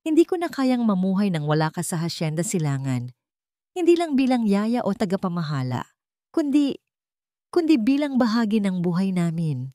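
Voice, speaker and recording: A woman's voice: medium pitch, big pitch swings, normal speed, warm tone, voice pushed up, lightly acted. A woman plays an earnest, pleading father in love from a romance storybook. He speaks tenderly and with feeling. The sound is dry, without room echo. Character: remorseful grown man, earnest, tender widowed father in love. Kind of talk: audio storybook romance acting.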